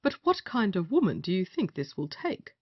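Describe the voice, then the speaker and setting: A woman's voice: mid-range voice, very animated, normal speed, dull audio. A woman playing a sardonic male gallant in a period stage comedy, wry and teasing with a companion.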